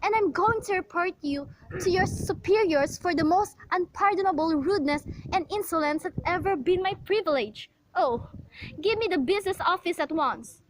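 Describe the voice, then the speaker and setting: Very high-voiced, nervous invalid woman, acted out by a woman. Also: lively intonation, normal speed, slight background noise, low-quality recording. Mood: indignant. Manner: agitated, imperious, exclaiming and repeating herself. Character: nervous, demanding, bedridden invalid woman, panicky and irate. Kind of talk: amateur radio play suspense acting.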